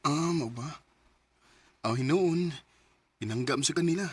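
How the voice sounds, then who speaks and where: A man's voice: on the high side, measured pace, slightly rough. A man acting an earnest, tender, hesitant suitor in a radio drama. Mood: curious, tentative. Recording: music behind the voice.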